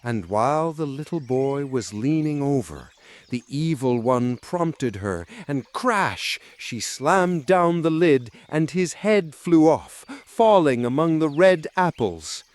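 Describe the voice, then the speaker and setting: A man narrating a dark fairy tale in a dramatic storytelling style. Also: fairly high pitch, animated, slow pace. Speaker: audiobook narrator.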